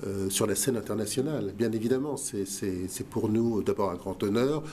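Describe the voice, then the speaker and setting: Earnest halting man, mellow voice. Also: lowish voice, a little hiss or hum. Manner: halting, many fillers and restarts, earnest. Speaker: guest, museum director. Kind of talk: radio talk show interview.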